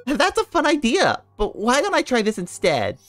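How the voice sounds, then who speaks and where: Woman playing a game goddess, high pitch, swooping, taunting, playful, mock-sweet, drawn-out menace. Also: huge pitch swings, faint music behind.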